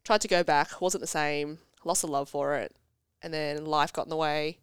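A young woman with a congested cold, chatting as a podcast guest, self-deprecating and quick to tell stories. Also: sits low, fairly even intonation, clean tone, dry recording, studio quality. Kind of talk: casual chat. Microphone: studio podcast mic.

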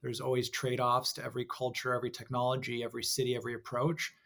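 A young adult man with an American accent, a guest in a conversational interview, speaking in an articulate, measured way. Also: medium pitch, completely flat delivery, gravelly, a bit bright. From Hong Kong.

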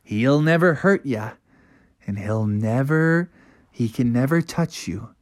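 A storyteller voicing a grave, mysterious father bear in a low, hushed whisper. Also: lively intonation, takes it slowly, no room sound, clean studio sound. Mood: ominous.

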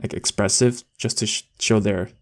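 A man's voice: on the low side, steady tone, moderate pace. A young man chatting casually as a podcast co-host, rambling with lots of fillers and restarts. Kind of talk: casual chat.

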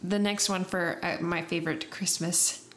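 A young woman with a slightly low voice, talking loosely over a faint hum of noise in the background. She is sniffly from allergies and rambles as she chats, full of fillers. Her tone is easy and conversational. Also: fairly even intonation, moderate pace, fairly bright tone. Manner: chatty and rambling, with many fillers and laughs. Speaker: podcast co-host. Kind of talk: casual chat.

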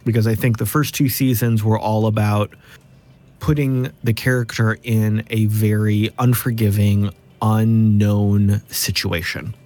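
A man with a somewhat low voice looks back in a relaxed group recap. He explains himself reflectively, stacking similar phrases to build each point. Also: a little slow. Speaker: cast member of an actual play series.

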